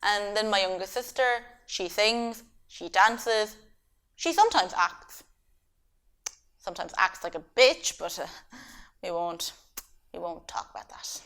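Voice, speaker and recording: A woman's voice: medium pitch, lively intonation, unhurried, pauses often, pushed and bright. Chatty young woman, joking. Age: young adult. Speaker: youth theatre member. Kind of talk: casual personal chat.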